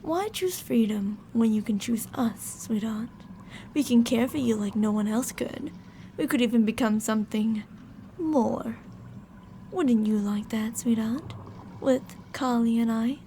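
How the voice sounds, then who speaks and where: A woman's voice: fairly high pitch, unhurried, warm tone, slight background noise. A woman playing teasing, possessive female mad scientists in an ASMR roleplay, coaxing and domineering with sweet menace.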